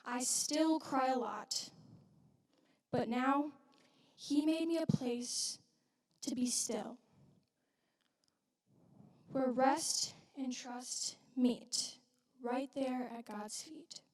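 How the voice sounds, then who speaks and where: A woman playing a widowed young mother from biblical Galilee in a dramatic church monologue, speaking earnestly and haltingly with weighted pauses. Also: high-pitched. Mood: reverent, hopeful. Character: widowed young Galilean mother, wounded, reverent witness of Jesus. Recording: echoey hall, distant microphone.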